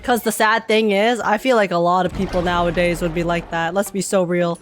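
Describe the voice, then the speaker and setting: A woman's voice: on the low side, steady tone, brisk, soft background music. A young woman reacting to a game on stream, chatting casually in a playful, giggly way.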